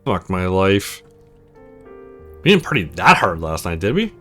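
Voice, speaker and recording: Visual novel role: prickly young woman, read by a man, blunt, sarcastic, swinging pitch, music underneath. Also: big pitch swings, a little slow, bright, forceful tone, heavily acted. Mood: weary, frustrated. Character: sharp-tongued, exasperated young woman, loyal but prickly.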